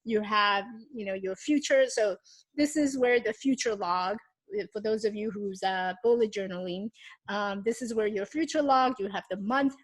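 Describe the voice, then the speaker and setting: A woman's voice: medium pitch, moderate pace. A woman in her thirties whose first language is Mandarin Chinese, talking casually in English to her channel's viewers, with frequent ums and restarts as she shows her notebooks. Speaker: YouTube host. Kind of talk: casual vlog talk.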